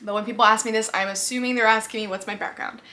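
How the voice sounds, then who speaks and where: A woman's voice: on the high side, steady tone, fast talker, pushed and bright. A woman in her twenties from the United States, chatting casually to her camera in a question-and-answer vlog, upbeat, laughing easily and often saying "like". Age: 20s. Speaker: vlogger. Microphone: camera mic, talking to camera.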